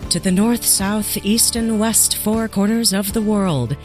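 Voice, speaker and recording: A woman's voice: mid-range voice, measured pace, over music. A woman hosting a podcast interview, chatty and enthusiastic, laughing often as she asks questions. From American Southwest. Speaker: podcast host. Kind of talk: casual interview.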